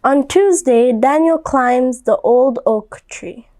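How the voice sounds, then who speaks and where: A woman reads a storybook aloud for small children in a fairly high voice. She goes very slowly, explains things in simple phrases and often asks the listener questions. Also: warm tone. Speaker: volunteer children's book reader.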